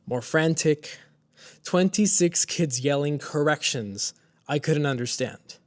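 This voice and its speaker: A man from Mexico whose first language is Spanish, telling a personal story as a motivational speaker, with dramatic shifts between soft and emphatic lines. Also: fairly high pitch. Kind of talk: scripted personal storytelling monologue.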